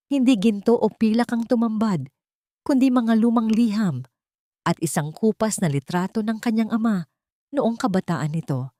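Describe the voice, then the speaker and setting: A woman with a fairly low voice narrates a scripted drama. Her delivery is measured and literary, as a storyteller's. The recording is close and dry, with little room sound. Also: darkish tone, dry recording.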